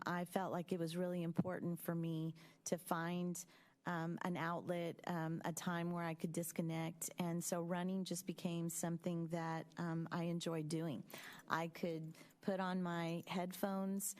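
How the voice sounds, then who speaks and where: Low, flat-voiced woman in her fifties. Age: 50s. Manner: measured, reflective, frequent ums. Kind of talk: personal reflection at a board meeting. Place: school board meeting room.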